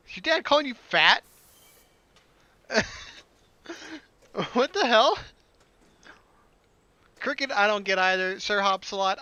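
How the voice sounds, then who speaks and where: A man's voice: high-pitched, sharp tone. A man doing let's play commentary on a game, reading narration aloud and adding jokey, sarcastic asides. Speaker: gaming let's player.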